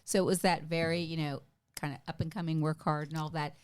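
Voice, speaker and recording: Chatty middle-aged woman, slightly low voice. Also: fairly even intonation, quick pace. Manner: chatty, emphatic, enthusiastic. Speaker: podcast guest, stand-up comedian. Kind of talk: casual conversational storytelling.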